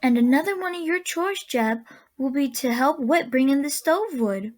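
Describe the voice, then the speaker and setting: A woman playing a kindly old woman of a magical land in a radio drama, speaking with gentle, genteel endearments. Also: high-pitched, animated, moderate pace, slight background noise. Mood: warm, busy.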